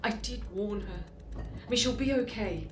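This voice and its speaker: A woman's voice: mid-range voice, moderate pace, reverberant, noisy background, natural voice. A woman playing a hard-headed, grimly resigned survivor in an audio drama, speaking bluntly and tersely. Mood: grim, matter-of-fact.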